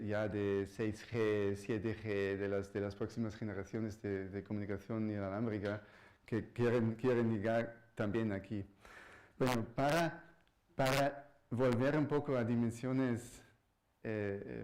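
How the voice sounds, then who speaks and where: A man with a slightly low voice delivers a public science lecture in a university hall. He is not a native speaker and often stops mid-phrase, restarts and searches for words while explaining. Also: a little mellow. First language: not Spanish. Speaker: physics professor giving a public lecture.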